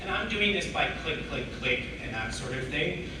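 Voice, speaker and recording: Hoarse man presenting in an echoey room. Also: fairly high pitch, animated, normal speed. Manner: explains step by step, many restarts. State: hoarse from a cold. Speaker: tech meetup presenter. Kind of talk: technical talk answering questions. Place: meeting room with audience. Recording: echoey room, distant mic, background noise.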